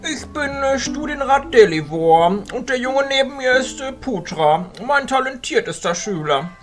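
A man playing an older, grumpy and distrustful scholar in a radio play, halting and fussy, with a slight growl. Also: extremely high pitch, lively intonation, fairly bright tone, soft background music, pitched above the performer's own voice. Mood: hesitant.

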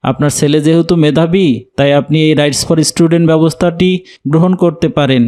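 A man's voice: fairly high pitch, steady tone, moderate pace, a little mellow, natural voice. A man voicing a kind, educated office worker in a cartoon, speaking courteously and helpfully. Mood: reassuring, helpful. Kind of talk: animated cartoon dialogue.

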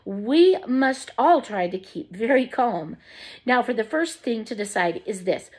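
A woman's voice: on the high side, animated, measured pace, husky. A woman voicing a fussy, bustling mother in a storybook reading. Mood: fussing. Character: bossy, fussing storybook mother.

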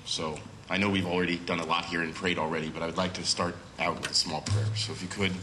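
A man in his thirties speaks from a church pulpit, his voice a touch nasal from a cold. His tone is low and bright, a little nervous but earnest. The room adds a roomy echo, picked up from a distance. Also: flat delivery, quick pace, a little hiss or hum. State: has a cold, slightly nasal. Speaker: guest speaker. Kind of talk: church talk with prayer. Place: church sanctuary at a pulpit, indoors. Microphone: far camera with pulpit microphone.

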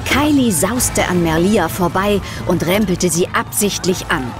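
A woman reads scripted audio drama narration. Her voice is fairly low, and music plays clearly behind her storytelling. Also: lively intonation, unhurried.

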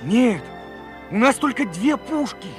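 An actor playing a young Red Army soldier in a Soviet radio play, earnest, bewildered and halting. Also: male voice, very high-pitched, sing-song, heavily acted. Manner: halting, wondering, dramatic. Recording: vintage radio play over loud music.

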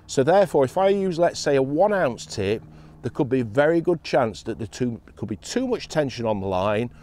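A man in his forties, a professional angler, explaining fishing tackle outdoors by a lake in an emphatic, animated way. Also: mid-range voice.

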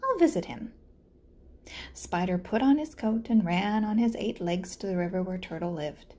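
Woman reading a storybook with lively intonation. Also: mid-range voice, normal speed. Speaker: learning coach reading aloud. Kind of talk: children's storybook narration.

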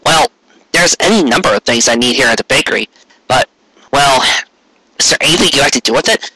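Guy doing a shy eager pony husband, kinda flustered and stumbly, bright voice, dry storybook read. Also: medium pitch, very animated, gravelly, slightly rough, no echo, heavily acted, poor audio. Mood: bashful, hopeful. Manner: halting, flustered. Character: bashful, eager male cartoon pony baker husband.

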